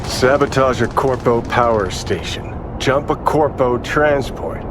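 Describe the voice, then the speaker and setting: An actor playing a cocky, sardonic ghost of a rebel rocker in a video game, mocking and crude in a drawling way. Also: male voice, medium pitch, unhurried, lightly acted. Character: cocky, sardonic dead rebel rocker living in someone's head. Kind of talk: video game cutscene dialogue. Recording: clear vehicle and ambience noise behind.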